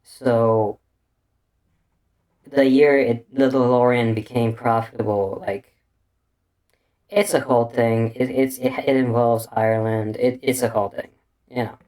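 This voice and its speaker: A man's voice: medium pitch, steady tone, unhurried, pauses often, darkish tone. A man living in Bulgaria with an unusual accent, rambling through a casual, crude commentary full of restarts and fillers. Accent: unusual non-native English accent.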